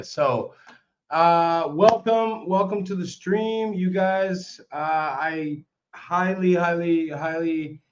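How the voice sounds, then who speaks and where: Hoarse man hosting a live stream. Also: mid-range voice. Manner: excited, repetitive, chatty. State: hoarse, voice worn out. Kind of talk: casual live stream commentary. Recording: slightly dull lo-fi stream audio.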